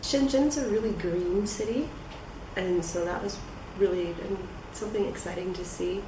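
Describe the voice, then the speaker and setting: A woman from the United States, an English teacher giving measured interview answers. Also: medium pitch. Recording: echoey, distant, noisy.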